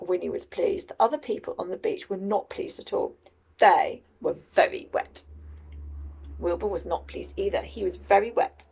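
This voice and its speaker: A woman reading a picture book aloud to children in an expressive, teacherly, questioning way. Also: fairly low pitch, vocal fry, husky.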